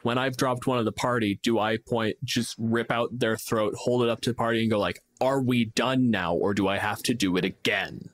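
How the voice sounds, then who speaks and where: A man's voice: medium pitch, steady tone, normal speed, few pauses. A man in a casual tabletop gaming discussion as co-host, reasoning aloud and acting out short examples. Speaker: podcast co-host and game master.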